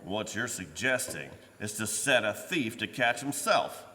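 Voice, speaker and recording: A man acts a sour, hard-nosed police commissioner in a radio mystery. His voice is low but forceful and sharp, gruff and sarcastic, ringing in a reverberant hall. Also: expressive, unhurried. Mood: stern. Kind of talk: old-time radio drama acting. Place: live performance in a church.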